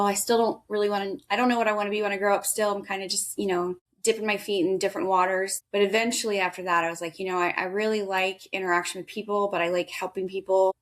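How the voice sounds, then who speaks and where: A woman in her thirties, a guest in an interview, talking in a chatty, energetic way with a big voice and often quoting herself. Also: mid-range voice. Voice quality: loud, big voice. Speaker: guest, veterans outreach worker. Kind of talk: casual interview talk.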